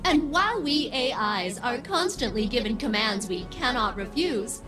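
A woman voicing a video game ship AI, a chirpy scientist with a sardonic, gloomy side, moving between perky precision and deadpan sarcasm. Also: fairly high pitch, normal speed, music in the background, close to an everyday voice. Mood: chirpy, eager. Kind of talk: scripted video game dialogue.

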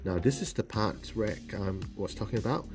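A man with a low voice hosts a model-building tutorial. He explains each step plainly and calmly, pausing with ums as he works. Soft music plays faintly underneath. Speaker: host of a model-building show. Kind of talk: hobby tutorial voice-over.

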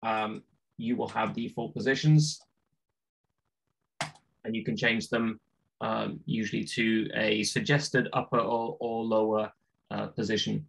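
A man whose first language is Norwegian walks through video text templates on a video call, talking in a relaxed, chatty way with lots of ums and uhs. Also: lowish voice, measured pace, vocal fry, long pauses, low-quality recording. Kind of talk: screen-share tutorial on a video call. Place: home room with bookshelves.

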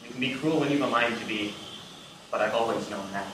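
A man playing a blustering English nobleman in a period stage play, speaking formally and forcefully. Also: medium pitch, a little fast, natural voice. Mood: indignant. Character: blustering English nobleman, lord presiding at trial. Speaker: stage actor. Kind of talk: theatrical period stage acting. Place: large theatre stage, indoors. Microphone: distant camera in the audience. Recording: echoey theatre from far off, background noise.

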